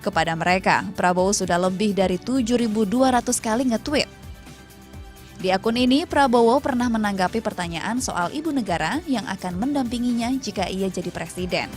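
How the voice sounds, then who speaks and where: A woman reading a scripted news feature voice-over in an even, informative manner. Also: mid-range voice, fairly quick. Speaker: news narrator. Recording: voice-over with faint music.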